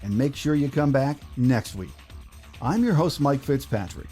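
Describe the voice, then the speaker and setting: A man's voice: medium pitch, expressive, moderate pace, mellow, faint music behind. An American man hosting a sports podcast, giving game preview notes and addressing fans. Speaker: podcast host.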